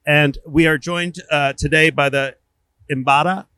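A man's voice: fairly high pitch, steady tone, measured pace, sharp tone, clear, resonant tone, dry recording. A man hosting a podcast, speaking casually as he interviews guests on air. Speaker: podcast host.

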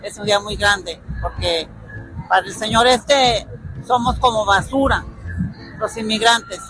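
A woman's voice: medium pitch, unhurried, over music, low-quality recording. A woman interviewed at a street march, speaking indignantly with an uneven pace. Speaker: march participant.

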